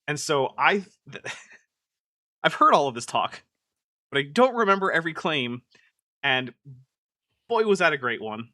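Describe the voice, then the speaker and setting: A man talking in a bright, clear, forward tone with a fairly high pitch, recorded dry and close with no room sound. He is hosting a live stream and reacting to a video. His delivery is chatty and exasperated, with lots of "like" fillers. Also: very animated, unhurried, pauses often, no echo. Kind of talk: casual live-stream commentary.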